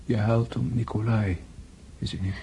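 Actor as weary dying nobleman, low creaky voice, tender, halting, radio drama, noisy old recording. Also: fairly even intonation, warm tone, lightly acted. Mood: tender, resigned. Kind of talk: literary radio drama acting.

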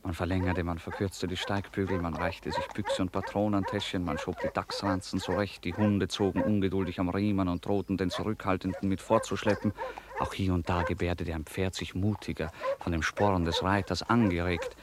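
A man narrating a literary radio play in a measured, formal storytelling manner. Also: low-pitched, flat delivery, very noisy.

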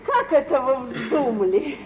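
A woman's voice: high-pitched, expressive, a little slow. An actress playing a fawning middle-aged mother with effusive, deferential manners, in an old theatrical radio play. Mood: fawning welcome. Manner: effusive, deferential, exaggerated politeness. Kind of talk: 1940s theatrical radio acting. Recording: dull, noisy vintage radio play recording.

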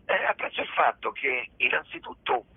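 A man, a theatre director and actor, explaining a play in a radio interview, pausing often to search for words, heard over a phone line. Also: medium pitch, sing-song, husky, no room sound. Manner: searching for words, frequent pauses and hedges.

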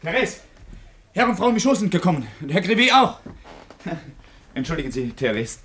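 A man's voice: sits high, big pitch swings, moderate pace, a bit bright, breathy edge, heavily acted. An actor in a radio play playing an uneasy, over-polite man who acts the attentive host in a flustered, repetitive way. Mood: flustered, eager. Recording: noisy radio play recording with clicks.

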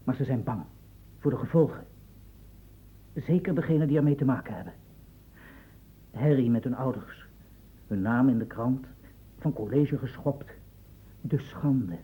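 An actor playing an earnest, concerned male investigator in a radio drama, with a husky voice and careful, formal speech. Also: mid-range voice, a little slow, long pauses, warm tone. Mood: defensive, troubled. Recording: muffled phone-like old radio recording.

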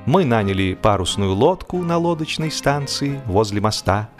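A man narrating a humorous story expressively, theatrically, with deliberate pauses and stretched words. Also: fairly low pitch, lively intonation, faint music behind.

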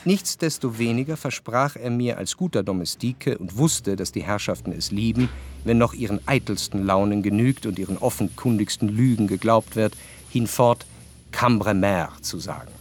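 An actor playing an introspective, wryly analytical narrator in a historical radio play, speaking in a measured, articulate, reflective way. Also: male voice, on the low side, close to an everyday voice.

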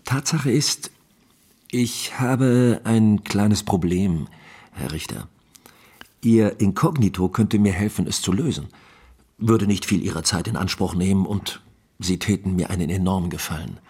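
An actor playing an affable, talkative captain of the imperial guard in a German radio drama, chatty, courteous and persuasive, with a husky voice. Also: male voice, low-pitched, unhurried, darkish tone, exaggerated voice. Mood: explaining.

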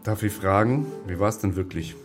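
A man plays a studio staffer in a radio drama. His voice is very low and his delivery is dry, offhand and sardonic, with music clearly audible behind him. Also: moderate pace, close to an everyday voice. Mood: curious, frank. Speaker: actor.

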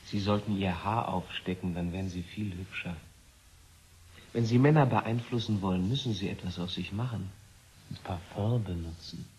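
An actor playing a defiant, provocative scientist in a German radio play, taunting, insistent and given to lecturing. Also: male voice, sits low, moderate pace, darkish tone, husky. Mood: teasing. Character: provocative, defiant male scientist, needling and probing.

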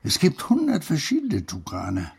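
An actor playing a gruff old grandfather who tells fanciful tales in an audiobook, with a gravelly voice. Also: fairly high pitch, huge pitch swings, a little slow, a little mellow, full character voice. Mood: playful, storytelling. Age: older. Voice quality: gravelly, husky, creaky. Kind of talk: acted audiobook dialogue.

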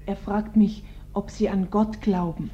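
An actress playing a dutiful, flustered housemaid in a radio play, deferential, apologetic and anxious. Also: female voice, medium pitch, fairly even intonation, unhurried, warm tone, close to an everyday voice. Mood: hesitant, insistent. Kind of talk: German radio play acting. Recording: dull, band-limited radio recording.

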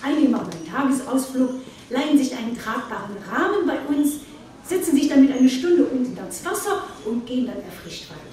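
A woman's voice: high voice, lively intonation, brisk, mellow. A woman playing the host of a seaside retreat in a radio play, explaining things in a musing interview style. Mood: explanatory, musing. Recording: very echoey, distant, faint noise.

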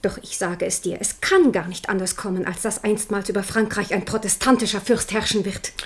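A woman's voice: medium pitch, fairly quick, natural voice. An actress playing a proud, passionate Protestant queen and mother in a 1970s radio drama, indignant and fervent.

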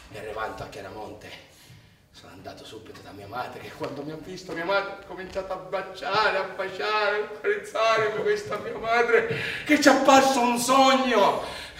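A man performs a rustic Sicilian labourer with a high, emotional voice, declaiming his life story like a stage monologue. He sounds far from the mic in a very echoey theatre, with loud music playing behind. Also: normal speed, a little hiss or hum, natural voice. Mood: overcome with joy. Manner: impassioned, declamatory storytelling in rustic dialectal speech. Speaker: stage actor narrating in first person. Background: loud instrumental music.

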